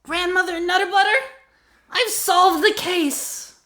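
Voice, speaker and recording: A man voicing a pleading grandson turned amateur sleuth in an improvised comic radio mystery, halting and overdramatic. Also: very high-pitched, steady tone, sharp tone, heavily acted. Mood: dramatic, halting.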